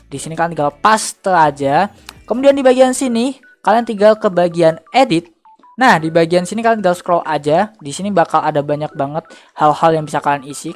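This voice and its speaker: A young man presenting a casual software tutorial in an informal, slangy, chatty way. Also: a little high. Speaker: tutorial host. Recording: faint music under voice. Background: faint background music.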